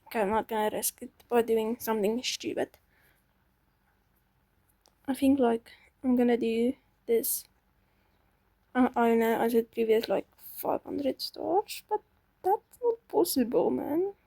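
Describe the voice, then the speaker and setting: A woman's voice: on the high side, unhurried, long pauses, dark tone, no room sound. A young woman chatting casually to herself while playing a game, self-doubting and talkative. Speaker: gaming channel host. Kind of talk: casual gameplay commentary.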